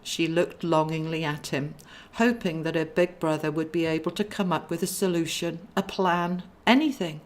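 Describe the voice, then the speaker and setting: A woman reads as an author presenting her own audiobook. Her voice sits a little low as she narrates the story. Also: steady tone, moderate pace. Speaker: author reading own book.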